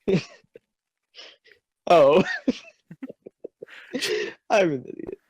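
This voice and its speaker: A man's voice: on the high side, extremely slow, darkish tone, husky, dry recording. A man making dry jokes and laughing as a co-host in casual banter.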